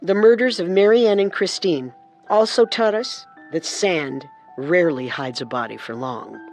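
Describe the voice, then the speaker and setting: A woman co-hosting a scripted true crime podcast with dramatic, deliberate delivery. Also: on the low side, a little mellow, soft background music. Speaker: podcast co-host and narrator.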